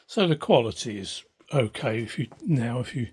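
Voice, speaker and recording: Husky older man, hesitant and thoughtful. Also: medium pitch, animated, moderate pace, darkish tone, soft background music. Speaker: hobbyist radio restorer. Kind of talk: informal spoken demonstration, unscripted. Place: small cluttered room indoors. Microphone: handheld phone behind the camera.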